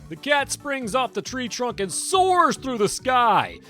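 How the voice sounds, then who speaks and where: A woman streamer reading a visual novel aloud with expressive, dramatic storytelling. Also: a little high, slow pace. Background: game music.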